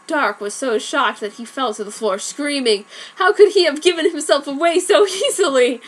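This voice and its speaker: A woman's voice: high-pitched, normal speed. A woman giving a comic dramatic reading of fan fiction, with wry offhand asides. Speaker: reader. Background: faint background music.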